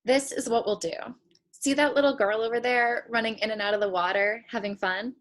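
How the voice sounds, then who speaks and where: Actress as candid young woman, somewhat high voice, guarded, frank, probing, naturalistic play reading. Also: steady tone, brisk, lightly acted. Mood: probing, encouraging. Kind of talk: play table read, naturalistic dialogue.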